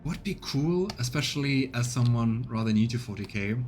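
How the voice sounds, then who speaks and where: A man's voice: medium pitch, animated, moderate pace, darkish tone, slight background noise. A man doing casual let's play commentary, chatting as he thinks aloud and reads game text. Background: faint game music.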